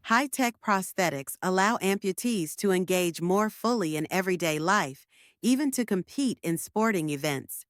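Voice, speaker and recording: A woman giving a formal, measured read-aloud of scripted text as a test practice sample answer. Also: fairly low pitch, animated, no room sound, clean studio sound.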